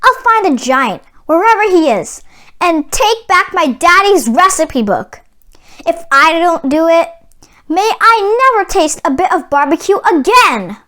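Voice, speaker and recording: A woman's voice: very high-pitched, big pitch swings, a little slow, bright, forceful tone, heavily acted. A woman reading a storybook, playing a brave, earnest young cowboy boy. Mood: determined. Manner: earnest, resolute.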